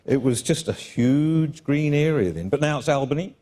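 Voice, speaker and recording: New Zealand male host, conference stage, chatty and jokey, mellow tone. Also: fairly high pitch, expressive, moderate pace. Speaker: panel host. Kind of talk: conversational stage interview.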